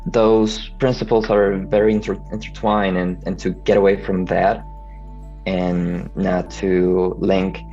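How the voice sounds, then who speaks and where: Low, gravelly man. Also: level tone, measured pace, mellow, dull audio, faint music behind. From Venezuela. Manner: hesitant, frequent restarts and stammers. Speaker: video editor and freelancer. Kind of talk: casual video call conversation.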